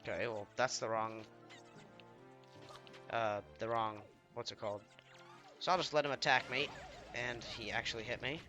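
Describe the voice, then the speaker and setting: Man, slightly hoarse, bright forceful tone, rambling casual gameplay talk, self-deprecating. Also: medium pitch, steady tone, moderate pace, long pauses, soft background music. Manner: rambling, self-deprecating, trailing off mid-sentence. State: slightly hoarse, under the weather. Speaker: let's play gamer.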